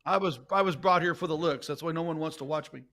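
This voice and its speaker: A man with a bright, forceful, fairly high voice comments on a darts tournament. He keeps teasing his co-hosts and laughs often. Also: quick pace. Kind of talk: bantering sports commentary.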